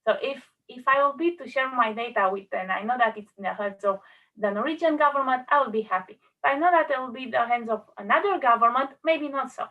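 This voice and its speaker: A woman, speaking on an online panel in an earnest, halting way with many restarts. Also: high voice, recorded from a distance, narrow, tinny audio. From Romania.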